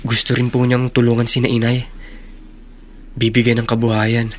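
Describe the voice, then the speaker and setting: A man's voice: mid-range voice, monotone, moderate pace, a little hiss or hum, natural voice. Guy playing young student son in a radio drama, very polite, hesitant, kinda troubled. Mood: pensive. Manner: respectful, hesitant.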